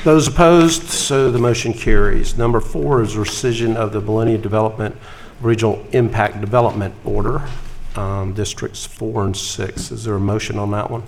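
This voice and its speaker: A man with a gravelly, creaky voice chairs a council meeting. He moves briskly through motions, votes and readings, with the odd dry joke, over faint room noise. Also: fairly low pitch, steady tone, darkish tone. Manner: brisk, procedural, lightly joking.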